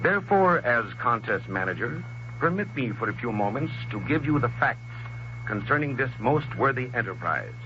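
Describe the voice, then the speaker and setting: A man's voice: mid-range voice, big pitch swings, normal speed. A man announcing on 1930s radio, reading scripted promotional copy in a formal, emphatic oratorical way. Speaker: radio announcer and contest manager. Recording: muffled, noisy 1930s radio recording with hum.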